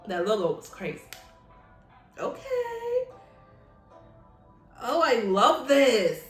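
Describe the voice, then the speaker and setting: A woman's voice: a little high, wildly swooping pitch, takes it slowly. A woman hosting a casual reaction video, speaking in an effusive, giggly, gushing way as she comments. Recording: roomy echo, music playing behind. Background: pop music from the video being watched.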